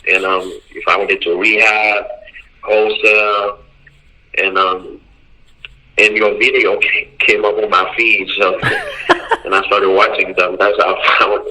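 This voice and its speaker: Deep-voiced Haitian man on the phone. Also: fairly even intonation, measured pace, sharp tone. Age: 30s. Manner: hesitant, many fillers and restarts. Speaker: caller. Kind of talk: casual phone call. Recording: phone line.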